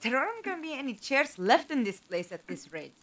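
An actress in an audio drama playing a plucky, excitable female companion, anxious but capable. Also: high voice, brisk, full character voice. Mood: excited, busy. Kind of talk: fan audio drama acting.

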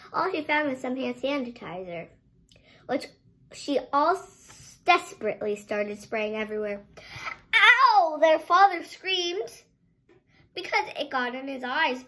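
A young girl reads a picture book aloud in a high, bright voice. She takes her time, leaning on words dramatically and now and then tripping over one. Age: child, around 8 to 10. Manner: dramatic, drawn-out emphasis with stumbles over words. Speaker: child presenter reading a picture book aloud. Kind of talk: read-aloud storytelling. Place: bedroom, indoors.

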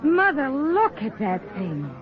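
Earnest, high-voiced young daughter. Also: female voice, huge pitch swings, slow pace, full character voice. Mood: anxious wryness. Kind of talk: 1940s radio acting. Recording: dull 1940s radio, faint crowd.

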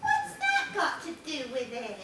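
Woman as a squeaky childish baby bear. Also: wildly swooping pitch, measured pace, sharp tone, full character voice. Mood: cheeky, childish. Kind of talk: amateur stage pantomime. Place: theatre stage, far camera. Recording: echoey theatre from far off, noisy.

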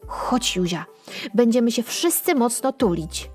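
An excitable, tender little boy from a children's storybook, voiced by a woman narrator. The delivery is slow-paced, with faint music behind it. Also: on the high side, voice pushed up. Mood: comforting.

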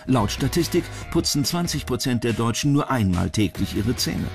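Male narrator, medium pitch, scripted TV documentary countdown, clear background music. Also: animated, normal speed. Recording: music behind the voice.

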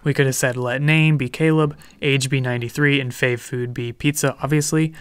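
Smooth-voiced young man teaching code. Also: mid-range voice, a little fast, no echo, clean studio sound. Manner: relaxed, explanatory, light jokes. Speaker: programming instructor. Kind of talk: screen-recorded coding tutorial.

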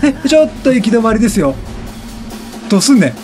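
A man giving excited game commentary, exclaiming and repeating words rapidly as he reacts. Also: extremely high pitch. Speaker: let's play gamer. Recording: game music behind the voice. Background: video game music.

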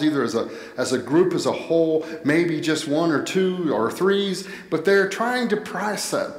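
A middle-aged American man, a pastor giving a sermon in a deliberate, phrase-by-phrase manner with reflective pauses. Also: a little high. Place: church sanctuary, at a wooden pulpit. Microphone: headset. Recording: echoey church hall with long reverb.

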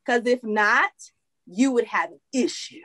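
A woman's voice: fairly high pitch, a little slow, fairly bright tone, no echo. A woman co-hosting a casual podcast, talking bluntly and with exasperation, stretching out words for emphasis. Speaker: podcast co-host.

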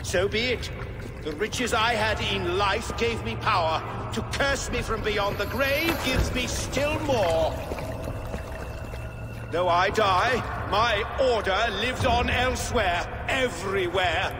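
An actor playing a vengeful, grandiose dying lord in a video game, imperious and declamatory. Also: male voice, sits high, expressive, slow pace, over music. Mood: bitter, commanding. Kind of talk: video game cutscene acting.

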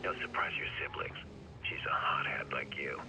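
An actor playing a smug, threatening criminal blackmailer in a video game, taunting and sardonic. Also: male voice, rumbling low, monotone, moderate pace. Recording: phone call over car noise.

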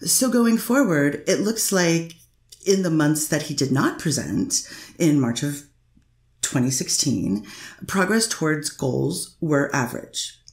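A woman's voice: deep voice, lively intonation, takes it slowly. A woman attorney cross-examining a witness in a courtroom, pressing with leading questions. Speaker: defense attorney. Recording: echoey courtroom recording.

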